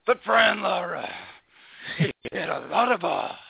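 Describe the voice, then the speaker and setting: A man's voice: sits high, expressive, measured pace, gravelly, husky, dry recording. A man co-hosting a gaming show in casual podcast chat, bantering and jokey, over a thin phone-like connection. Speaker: co-host interviewing a guest on a gaming show. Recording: thin phone-like internet radio sound.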